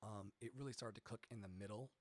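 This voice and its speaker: A man with a boomy baritone-tenor voice teaching singing on a live stream, rambling and chatty, swearing casually between sung examples. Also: fairly even intonation, fast talker. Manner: rambling, chatty, profane, sings snatches mid-talk. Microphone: studio mic with added reverb. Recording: reverb-drenched streaming mic.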